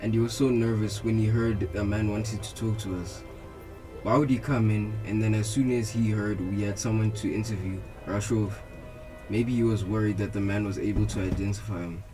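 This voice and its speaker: A man's voice: lowish voice, steady tone, normal speed, music in the background, close to an everyday voice, low-quality recording. A young man playing an attentive detective reasoning through a case in a radio drama. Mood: questioning.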